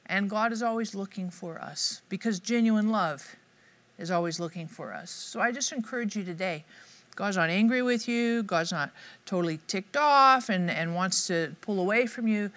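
A middle-aged woman speaking to camera with a bright, forward voice. Her delivery is upbeat and conversational. She teaches like a devotional host. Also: medium pitch, moderate pace. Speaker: Bible teacher. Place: dark studio set, indoors.

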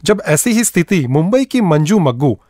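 Expressive, higher-pitched male radio narrator. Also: moderate pace, no echo, clean studio sound. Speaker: radio presenter.

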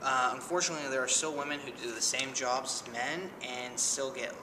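A young man answers interview questions with hesitant fillers and a casual tone. He sits away from the mic, so his voice carries a long room reverb and clear background noise. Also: mid-range voice, steady tone, a little fast. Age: young adult. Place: small indoor room with a bulletin board. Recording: reverberant room from a distance, noisy.

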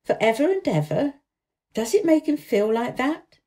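A woman's voice: sits high, big pitch swings, normal speed, mellow, voice pushed up. A woman voicing an eager, wonder-struck young invalid boy who exclaims, in a children's storybook reading. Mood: excited, wondering. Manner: exclamatory, wide-eyed.

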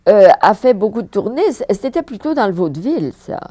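A woman's voice: medium pitch, brisk. An older woman from France with a Parisian accent, an actress recalling her career in a seated interview, talking emphatically and repeating words for stress. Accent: Parisian French. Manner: animated, emphatic repetitions, frequent laughs. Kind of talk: reminiscing interview. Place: living room with fireplace, indoors.

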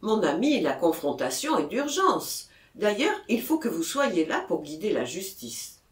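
A man's voice: high-pitched, big pitch swings, unhurried, echoey room. A man voicing an earnest male police commissioner in an audiobook, questioning formally and firmly.

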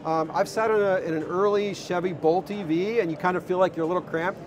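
A man's voice: high voice, steady tone, brisk. A man in his fifties recounting a visit in an unscripted interview, speaking into a clip-on mic. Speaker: interview guest, electric car enthusiast. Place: large indoor car show hall. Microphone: clip-on wireless lapel. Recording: noisy exhibition hall ambience.

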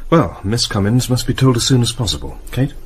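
An actor playing a composed, courteous British police commander in a radio drama. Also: male voice, mid-range voice, lively intonation, fairly quick, natural voice. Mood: composed, businesslike.

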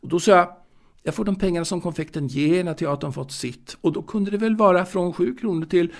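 A man's voice: sits high, gravelly. A man playing a proud, naive young confectionery seller reminiscing in an intimate audio drama monologue, hesitant and repeating his words. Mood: earnest.

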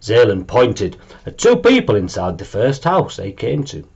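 A man around his forties narrating a children's story in an animated, deliberate way. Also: on the low side, creaky, dull audio, heavy background noise. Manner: expressive, deliberate. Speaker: storybook reader. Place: small room at home, indoors.

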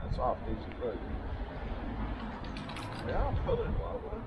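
A man's voice: mid-range voice, very animated, unhurried, highs cut off. A man chatting casually with a friend while fishing outdoors. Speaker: fishing companion. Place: outdoors by a riverside mooring. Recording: outdoor camera audio with background noise.

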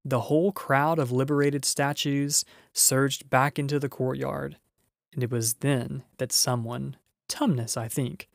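A man with a mid-pitched voice reads a children's storybook aloud. His narration is expressive, and the recording is close and dry. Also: measured pace, vocal fry, no room sound. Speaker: audiobook reader.

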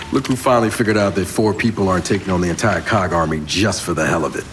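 An actor playing a mocking, scornful soldier in a video game, with noise behind. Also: male voice, low-pitched, a little fast, natural voice. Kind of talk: video game cutscene acting.

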